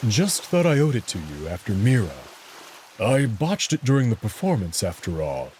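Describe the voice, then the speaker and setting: A man's voice: on the low side, wildly swooping pitch, moderate pace, darkish tone, a little hiss or hum. A man voicing a big wolf in a visual novel, evasive and wistfully romantic, with hesitant, understated delivery. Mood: evasive, subdued.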